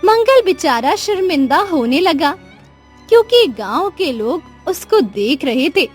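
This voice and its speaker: A woman's voice: sits high, very animated, measured pace. A woman narrating a scripted moral story as the storyteller, with music behind her. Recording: music under the voice. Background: background music.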